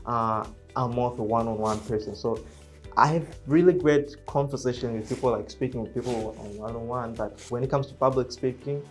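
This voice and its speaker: A man speaking English as a second language, talking to camera in a casual vlog. His voice sits at an ordinary middle pitch, and his speech is halting, full of fillers and restarted phrases. He comes across as reserved and soft-spoken, with faint music playing underneath. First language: not English. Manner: halting, many fillers and self-corrections. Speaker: real estate agent and vlogger.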